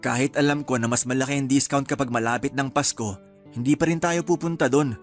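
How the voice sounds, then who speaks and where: A man's voice: mid-range voice, steady tone, brisk, faint music behind, natural voice. A man playing a mild, agreeable young husband. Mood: gentle. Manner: mild, soft-spoken and reassuring. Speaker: voice actor in a radio drama. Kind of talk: radio drama acting.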